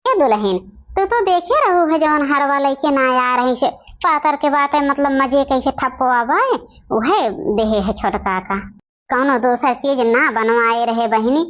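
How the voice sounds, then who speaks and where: A woman's voice: sits high, expressive, measured pace, fairly bright tone. Woman voicing a placating old village mother-in-law. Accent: Awadhi. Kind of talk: cartoon family comedy dialogue.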